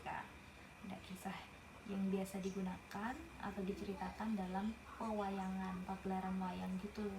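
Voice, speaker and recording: Woman reading a storybook aloud. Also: mid-range voice. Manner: pauses to explain the story to a young listener. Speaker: storybook reader. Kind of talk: reading a picture book aloud with chatty asides. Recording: roomy with noticeable background noise.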